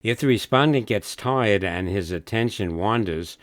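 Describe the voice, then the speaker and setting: Low-voiced man narrating instructions. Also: expressive, no room sound. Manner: measured, instructive.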